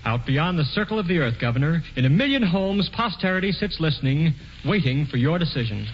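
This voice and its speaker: An actor playing a polite, formal gentleman author, in 1940s radio acting style. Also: a little high, lively intonation, fairly quick, in a large hall, slight background noise, natural voice. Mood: courteous. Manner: courteous, formal. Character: polite male author narrator figure. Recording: dull lo-fi old radio broadcast.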